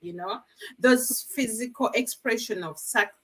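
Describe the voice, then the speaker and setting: A woman from Uganda speaking as a guest on a live video call, effusive and quick to laugh. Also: fairly high pitch. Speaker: panel guest.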